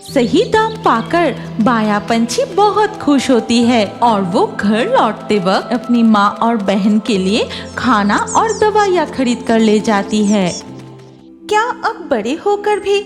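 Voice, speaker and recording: A woman's voice: on the high side, sing-song, takes it slowly. A woman narrating a scripted cartoon story for children, with music behind her voice.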